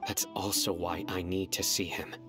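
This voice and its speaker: A man voicing a stern, solemn young judge in a game, speaking in a grave, measured, terse way. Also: low voice, little pitch movement, lightly acted. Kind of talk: game voice acting. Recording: game audio with loud background music.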